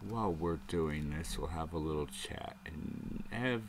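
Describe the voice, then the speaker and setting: Slow, low-voiced man rambling. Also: noisy background. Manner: rambling, thinking aloud, occasional swearing. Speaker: show host. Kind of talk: casual live-stream debate chat.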